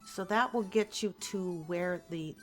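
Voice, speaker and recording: A woman with a low-pitched voice talks casually in an interview. She rambles and hesitates, thinking aloud as she goes. Faint music plays underneath her voice. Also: measured pace. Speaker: voice actor being interviewed.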